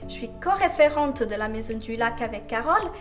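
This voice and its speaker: A woman's voice: a little high, expressive, brisk, soft background music. A woman from Italy, with an Italian accent, giving a scripted presentation as tourist office staff.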